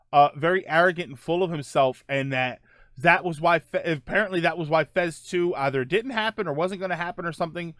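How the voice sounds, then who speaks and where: A man's voice: a little high, a bit bright, dry recording, clean studio sound. A middle-aged man hosting a casual podcast, rambling through viewer comments with many fillers and self-corrections. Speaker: podcast host.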